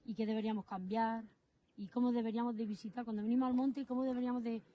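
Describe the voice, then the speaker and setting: A woman with a slightly high voice presents an outdoor interview in the countryside. She talks in a lively, inviting way as she brings in her guests. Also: racing, muffled recording. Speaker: host.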